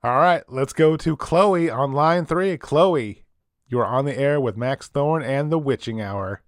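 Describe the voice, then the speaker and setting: A man's voice: mid-range voice, unhurried, no room sound, lightly acted. A man playing an easygoing late-night radio host, dry studio sound. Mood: amused, easygoing. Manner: chuckling, informal, apologetic. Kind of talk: casual radio talk show acting.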